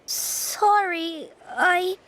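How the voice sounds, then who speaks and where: A woman's voice: very high voice, very slow, a bit bright, heavily acted. A woman voicing a frightened, fragile little girl in a video game, with a small childlike voice and a hesitant, timid manner. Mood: shaken, timid. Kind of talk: video game character acting. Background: music.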